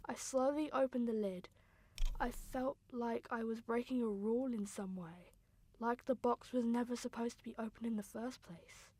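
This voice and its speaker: A woman playing a grieving young sister, narrating a radio drama in an earnest, sombre, deliberate way. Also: a little high, mellow, close to an everyday voice. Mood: sombre, wondering.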